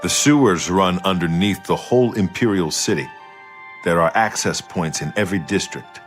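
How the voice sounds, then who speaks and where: A man plays a seasoned undercover warrior in a fantasy game. His voice is very low and gruff, delivered with firm resolve over faint music. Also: moderate pace, close to an everyday voice. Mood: determined. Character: gruff, resolute male imperial secret agent, seasoned warrior. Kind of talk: video game dialogue acting.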